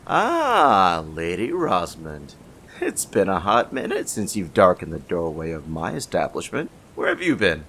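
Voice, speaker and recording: A man playing a deferential, businesslike trader in human blood donors in an ASMR roleplay, gravelly, with a folksy salesman's patter. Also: deep voice, huge pitch swings, a little slow, fairly bright tone, a little hiss or hum, full character voice. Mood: obliging. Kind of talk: ASMR audio roleplay acting.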